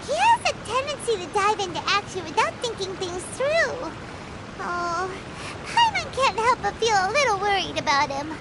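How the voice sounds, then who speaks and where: A woman voicing a tiny, excitable, childlike female companion in a video game, squeaky and exclamatory. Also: normal speed, very noisy. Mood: worried, curious. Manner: exclamatory, refers to herself in third person. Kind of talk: video game character acting.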